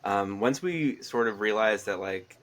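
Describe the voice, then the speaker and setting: A man's voice: lowish voice, expressive, unhurried, fairly bright tone. A man, a comic writer, talking reflectively in a casual interview while stuffy with a cold. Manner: reflective, with fillers and laughs. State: stuffy, congested.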